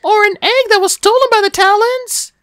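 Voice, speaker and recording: Very high, bubbly young girl dragon. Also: moderate pace, a bit bright, no room sound. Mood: curious, wistful. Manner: earnest, eager, bubbly. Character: cheerful, dreamy young female dragon, small and hopeful. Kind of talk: storybook character voice.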